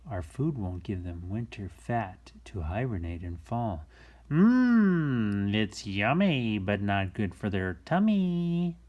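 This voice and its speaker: Slow-talking man reading a picture book aloud. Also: fairly low pitch, lo-fi sound. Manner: playful, expressive, dropping into hushed whispers and calling out exclamations. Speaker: children's story-time host reading a picture book aloud. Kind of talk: animated read-aloud with casual asides to young viewers.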